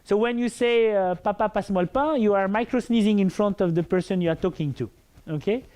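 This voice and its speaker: A man's voice: sits high, a little fast, a little mellow. A man with a French accent and a big, full voice gives an academic lecture. He speaks hesitantly, with many fillers and restarts. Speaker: researcher giving a lecture.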